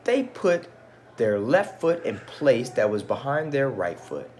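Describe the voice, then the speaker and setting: A man reads a children's story aloud with very lively intonation. His mid-pitched voice is expressive, moving between narration and casual asides. Faint noise is audible behind him. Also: slow. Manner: expressive, engaging listeners with questions. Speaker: storybook reader.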